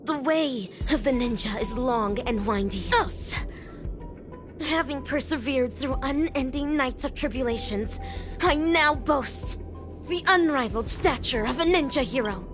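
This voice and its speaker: Grandiose young ninja heroine, high-pitched. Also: female voice, unhurried, music in the background. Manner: pompous, archaic heroic declaiming. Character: young ninja hero woman, grandiose, earnest, theatrical. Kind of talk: video game voice acting.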